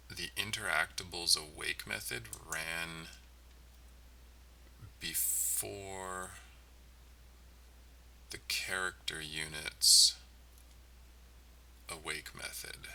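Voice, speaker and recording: A man giving casual, halting commentary as he codes on a livestream, muttering to himself as he thinks aloud. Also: low voice, steady tone, gravelly, bright, forceful tone, far from the mic, low-quality recording. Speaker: game developer livestreaming. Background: typing and mouse clicking.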